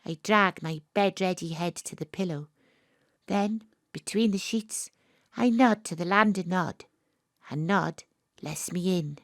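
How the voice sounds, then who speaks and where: A woman's voice: lowish voice, a little slow, no echo, clean studio sound. An actress playing a young woman investigator, wry and weary, narrating her story lyrically in an audio drama. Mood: wry, reflective.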